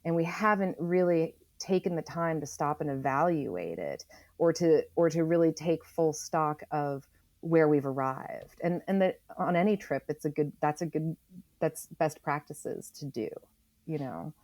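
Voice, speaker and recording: A woman with a fairly low voice talks reflectively in an interview. She is earnest and deliberate, often repeating a phrase to drive it home. The sound is close and dry. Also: lively intonation, darkish tone, no echo. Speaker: interview guest, environmental writer.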